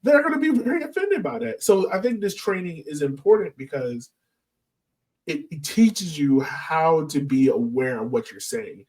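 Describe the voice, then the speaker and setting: A man's voice: fairly high pitch, animated, moderate pace, a little mellow. Distant-sounding man hosting a casual podcast. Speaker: podcast host. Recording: voice distant from the microphone.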